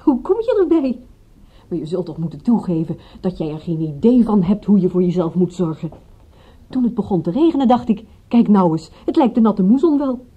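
An actress playing a detective's chatty partner in a radio play, breezy, fussing and playfully scolding. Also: female voice, medium pitch, very animated, fairly quick, breathy edge, muffled recording. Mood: teasing, fussing. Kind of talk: Dutch radio drama acting.

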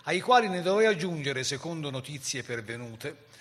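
Male narrator, forceful and slightly high, for dramatised historical radio storytelling. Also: sing-song, normal speed.

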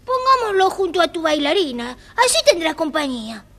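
A woman's voice: very high-pitched, sing-song, brisk, vocal fry, a bit bright, heavily acted. A woman voicing a fickle young boy with a blunt, petulant manner in a children's audio storybook. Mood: dismissive. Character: young boy, fickle, dismissive toy owner.

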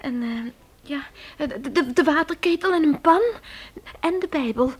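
A woman's voice: sits high, moderate pace, lightly acted. A woman playing an anxious, dutiful young pioneer girl in a radio play, hesitant and earnest. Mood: flustered.

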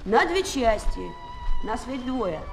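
A woman's voice: fairly high pitch, lively intonation, slow. An actress playing a cheeky, brave, swaggering Parisian street boy in a Soviet children's radio play. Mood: gruffly kind. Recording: 1970s flexi-disc, loud music behind.

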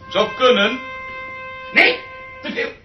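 An actor playing an imperious, exasperated, eccentric orchestra conductor in a radio play, with a pompous, theatrical manner that swings from muttering to shouting. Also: male voice, very high-pitched, huge pitch swings, takes it slowly, soft background music, heavily acted. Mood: imperious, impatient. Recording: dull recording with noticeable hiss.

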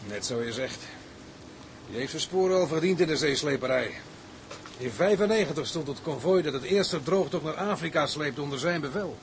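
An actor playing an admiring, gossiping deckhand in a drama, eager to tell tales. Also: male voice, a little high, animated, normal speed, slight background noise. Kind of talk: dramatic dialogue.

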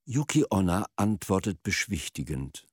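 A man narrating a radio play as a storyteller, in a deliberate, expressive manner. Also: low-pitched, breathy edge, no echo, clean studio sound.

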